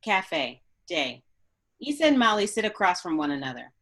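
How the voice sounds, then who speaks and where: A woman's voice: fairly low pitch, sing-song, normal speed, fairly bright tone. A woman reading stage directions as scripted narration at a table read.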